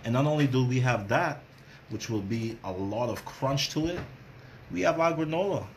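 A man's voice: mid-range voice, animated, normal speed, a little hiss or hum. A middle-aged man hosting a casual cooking demonstration, chatty and repeating phrases for emphasis. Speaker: chef and cooking show host.

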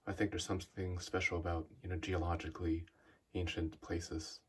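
A man vlogging in a dark cave, talking slowly and quietly, then calling out loudly to any spirits. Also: sits low, husky. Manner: calm, slow and hushed, then loud calling out. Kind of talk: spirit box session. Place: inside a large cave.

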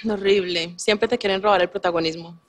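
A woman's voice: medium pitch, steady tone, a little fast. A woman in her late twenties from Colombia, auditioning for a pageant show with a brash, joking, self-assured manner and frequent laughs. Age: 20s. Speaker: beauty pageant contestant. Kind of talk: reality show audition and confessional interview. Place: TV studio stage and interview set.

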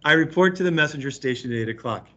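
A man voicing an excited, proud young girl in a storybook read-aloud. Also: a little high, fast, highs cut off. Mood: eager. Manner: eager, proud.

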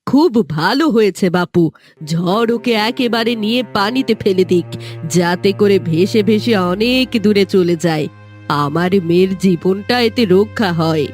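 A woman voices a spiteful middle-aged villainess in a cartoon fairytale. She speaks slowly at an average pitch, mocking and callous, with some music underneath. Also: a little mellow, lightly acted. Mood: gloating. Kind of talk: Bengali fairytale cartoon acting.

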